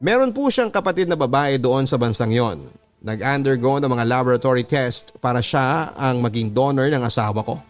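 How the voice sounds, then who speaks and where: A man with a Filipino accent, a radio host reading listener letters as earnest, scripted first-person storytelling. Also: mid-range voice. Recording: music bed under the voice. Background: background music.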